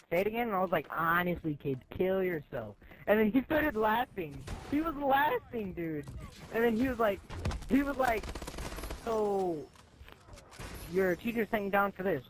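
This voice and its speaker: A high-voiced teenage boy spins a casual story for his friends. He talks in slang and acts out each side of the conversation. The recording is noisy, from a gaming chat mic with game gunfire in the background. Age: teenager, high school student. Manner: animated, acts out both sides of conversations, slangy. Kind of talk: casual anecdote telling among friends.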